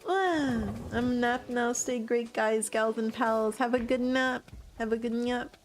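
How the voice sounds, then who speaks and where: A woman's voice: on the high side, steady tone, a little slow, faint music behind. A young American woman streaming a game, chatting casually with her viewers, swearing now and then and laughing often. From United States. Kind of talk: casual gaming livestream chat.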